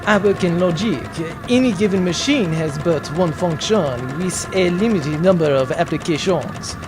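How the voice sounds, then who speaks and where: An actor playing a clever, dry ship's mechanic in an amateur sci-fi audio drama, talking wryly in technical terms. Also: male voice, high-pitched, moderate pace, over music, lightly acted. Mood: wry, musing. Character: French ship's mechanic, clever, dry, self-effacing man.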